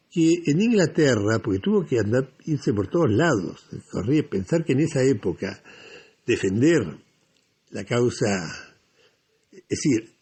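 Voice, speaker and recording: A man with an Argentine Rioplatense accent and a husky voice, hosting a radio show with spontaneous, emphatic, discursive storytelling. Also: medium pitch, a little mellow. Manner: emphatic, discursive, dramatizes quotations. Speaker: radio host. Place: radio studio.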